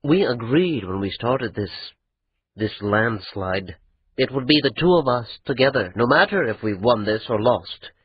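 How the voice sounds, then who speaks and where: A man voicing Adra, a proud, resentful young nobleman who speaks in a slow, bitter drawl. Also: medium pitch, animated, breathy edge. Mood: aggrieved. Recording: dull lo-fi recording.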